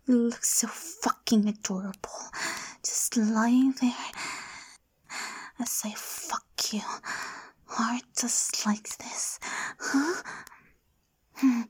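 A woman's voice: a little high, fairly even intonation, drawn-out words, pauses often, a little mellow, heavily acted, low-quality recording. A woman playing a domineering, possessive lover in an intimate audio roleplay, whispery and commanding. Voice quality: breathy, whispery. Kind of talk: adult ASMR audio roleplay.

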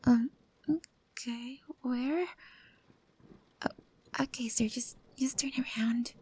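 A woman voicing a shy, timid young stray cat girl in an ASMR roleplay, talking softly and hesitantly, often in a whisper. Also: a little high, steady tone, slow pace, slightly rough. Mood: soft, tender.

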